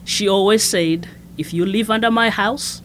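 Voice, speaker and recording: Soft-spoken woman from Zimbabwe, a nun. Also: mid-range voice, moderate pace, a little hiss or hum, poor audio. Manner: soft-spoken, hesitant with many fillers and restarts. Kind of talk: conversational interview.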